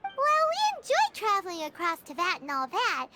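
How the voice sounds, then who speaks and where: A woman voicing a tiny, chirpy, excitable female fairy companion in a video game, with a childlike, exclamatory delivery. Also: very high-pitched, moderate pace, heavy background noise, full character voice. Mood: chatty, puzzled. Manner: refers to self in third person, exclamatory. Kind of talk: video game cutscene dialogue.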